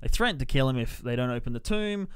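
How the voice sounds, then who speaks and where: A man with a clear, mid-pitched voice hosts a casual podcast review. He swears freely and slips into mimicked dialogue while retelling things. The sound is dry, with no room around him. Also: animated, quick pace, no echo. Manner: sweary, mimics characters in quotes. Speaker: podcast host reviewing films. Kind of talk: casual spoiler-filled movie review chat.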